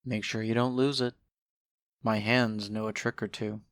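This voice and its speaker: A man's voice: lowish voice, level tone, moderate pace, no room sound, natural voice. A man playing a sly, laid-back skeleton hustler with a casual, unbothered way of talking in a fan audio drama. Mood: unbothered, teasing.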